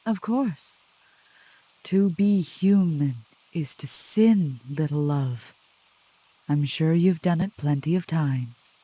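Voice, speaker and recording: Woman acting as a seductive female demon, soft ASMR roleplay, very unhurried, dark mellow tone, coaxing and protective, rain behind. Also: husky, no room sound, heavily acted, rough recording. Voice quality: soft low voice. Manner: slow, deliberate, coaxing, with pet names. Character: seductive, protective female demon, soothing yet scornful of cultists.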